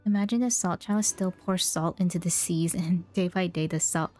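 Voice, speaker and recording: A woman's voice: lowish voice, fairly even intonation, moderate pace, darkish tone. A woman doing casual livestream commentary as a game streamer, reacting in an informal, rambling way. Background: game music.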